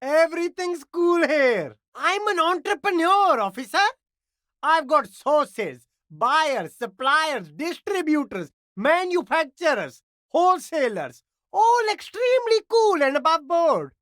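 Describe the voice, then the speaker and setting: Casting: high-voiced game street hustler, slick, eager, swooping delivery, flattering slangy patter, dry close sound. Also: unhurried, no room sound, exaggerated voice. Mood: smooth, flattering. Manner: salesman's patter, flattering, laid-back slang. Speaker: street vendor character in a video game. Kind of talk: video game character dialogue.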